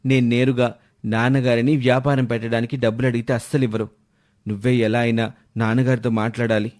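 A man acts out a young son full of ambition in a storybook tale. His mid-pitched voice is earnest and eager, with a wheedling, pleading manner. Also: little pitch movement, quick pace, darkish tone, natural voice. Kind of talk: animated moral story dialogue.

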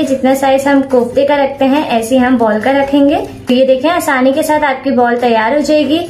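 High-voiced woman narrating a recipe. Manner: calm step-by-step instructions, English cooking terms mixed in. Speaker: cooking channel host. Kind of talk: recipe tutorial voice-over. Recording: echoey room with soft background music.

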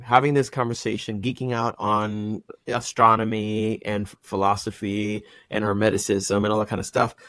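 A man's voice: lowish voice, fairly bright tone, dry recording. Puerto Rican man, dry close sound. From Puerto Rico. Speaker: podcast guest. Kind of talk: casual podcast chat.

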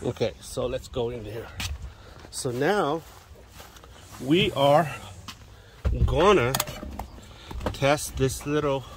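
Male DIY demonstrator, casual and plainspoken, unhurried halting delivery, slight background noise. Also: mid-range voice. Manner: plainspoken, talks in short halting steps while working. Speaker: DIY radio hobbyist demonstrator. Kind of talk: hands-on how-to narration, casual. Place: in and beside a parked vehicle outdoors. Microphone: handheld phone, one-handed filming.

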